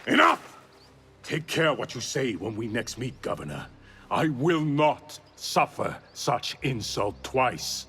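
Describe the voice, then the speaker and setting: An actor playing a proud, furious warlord in a video game, indignant and threatening. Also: male voice, medium pitch, very animated, slow, pushed and bright, a little hiss or hum, exaggerated voice.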